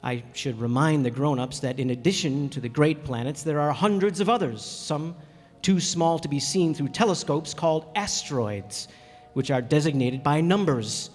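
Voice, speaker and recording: A stranded pilot played by a man tells his story in a fairly high male voice. He is reflective yet easily flustered, in radio-play style. The sound has long hall reverb and music behind it. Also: moderate pace, close to an everyday voice. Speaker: narrator and character. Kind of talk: radio drama acting and narration.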